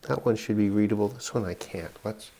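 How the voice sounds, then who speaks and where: A middle-aged man giving unscripted commentary on an electronics teardown, halting and musing as he thinks aloud. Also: lowish voice, vocal fry, warm tone, slight background noise. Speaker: electronics hobbyist presenter.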